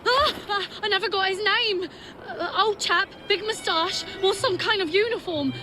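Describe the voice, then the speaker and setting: A woman's voice: very high-pitched, unhurried, pushed and bright, slight background noise, full character voice. A woman with a British accent playing a nervous, pleading street informant in a video game. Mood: rattled. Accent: British English. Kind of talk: video game character acting.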